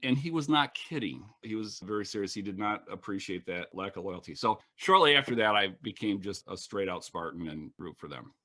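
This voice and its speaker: Bright-toned middle-aged male professor. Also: lowish voice, lively intonation. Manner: measured, matter-of-fact. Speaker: professor, interview guest. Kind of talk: reflective storytelling.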